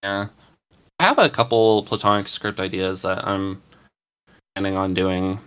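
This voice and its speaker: Lower-voiced man, easygoing chat. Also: steady tone, fairly bright tone, clear, resonant tone. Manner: easygoing, quick to agree, chatty. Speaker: podcast panelist, ASMR script writer. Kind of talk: casual chat.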